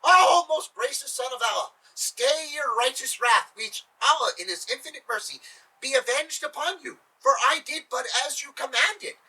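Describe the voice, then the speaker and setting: A man's voice: very high-pitched, animated, normal speed, bright, forceful tone, pitched above the performer's own voice, heavily acted. A man voicing a cunning male trickster who pleads in flowery, mock-humble words, recorded from a distance. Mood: pleading.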